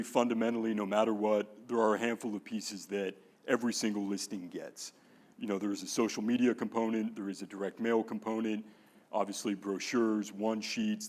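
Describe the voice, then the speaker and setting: Man with a very deep voice. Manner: relaxed, conversational, with filler words. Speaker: conference speaker, brand manager. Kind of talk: conference talk. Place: conference room on a PA system.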